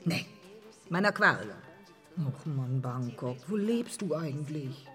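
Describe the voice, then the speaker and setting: A woman acting in a German radio drama as a wry, streetwise woman who teases in a deadpan, colloquial way. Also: sits low, a little slow, big-room sound, faint music behind. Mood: teasing. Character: wry, streetwise woman, mocking but tolerant.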